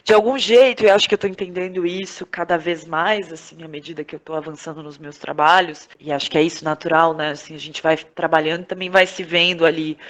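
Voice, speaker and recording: A Brazilian woman giving reflective interview answers, thinking aloud in a halting, searching way with frequent tag questions. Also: deep voice, steady tone. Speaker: podcast guest, playwright, actress and director.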